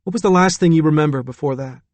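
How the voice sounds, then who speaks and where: Audiobook role: calm authoritative woman physician read by a man, lifted pitch, gentle yet firm. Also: no room sound, close to an everyday voice. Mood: reassuring. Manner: reassuring, measured, in control. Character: gentle but firm, persuasive woman doctor.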